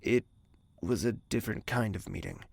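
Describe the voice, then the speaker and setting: An actor playing a guarded, devoted young prince in an audio drama, husky and hushed, speaking with brooding earnestness. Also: male voice, lowish voice, moderate pace, no room sound. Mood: frank, low. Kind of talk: intimate audio drama acting with inner monologue.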